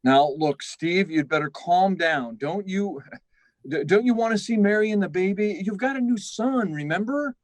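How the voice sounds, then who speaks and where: Male actor as doubting, placating buddy, sci-fi radio drama: higher-set voice, dry recording, blustery. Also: lively intonation, moderate pace, no echo. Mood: placating, exasperated. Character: skeptical, gruffly protective male colleague of the pilot. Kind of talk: live performance of 1950s sci-fi radio drama.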